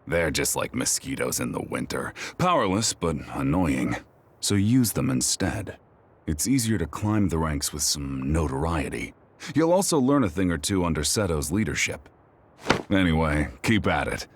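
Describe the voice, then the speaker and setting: An actor playing a commanding, worldly major general in an anime game, measured and authoritative. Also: male voice, rumbling low, very animated, breathy edge, full character voice. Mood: confident, approving.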